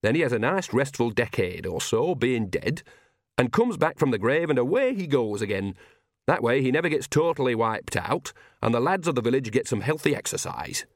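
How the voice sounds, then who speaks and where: A man's voice: medium pitch, sing-song, normal speed, no echo, clean studio sound. A man voicing a shrewd, blunt, earthy old country witch in an audiobook, with folksy, colloquial talk. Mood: shrewd, knowing.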